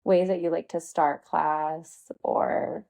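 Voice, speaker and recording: A woman's voice: low voice, level tone, slow, vocal fry, a little mellow. A woman hosting a podcast interview, casual and supportive, asking follow-up questions. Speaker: podcast host.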